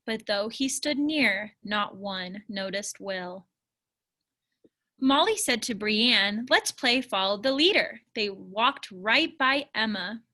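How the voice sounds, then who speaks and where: A woman reads a rhyming children's story with expression. Her voice is fairly high and bright, and she takes her time over each line. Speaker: story reader. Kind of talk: children's storybook read-aloud, rhyming scripted narration.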